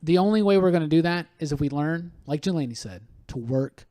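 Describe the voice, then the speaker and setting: A man's voice: a little high, lively intonation, a little fast, dark tone. A man hosting a podcast in casual, irreverent talk, emphatic, repeating words as he goes and swearing freely. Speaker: podcast host.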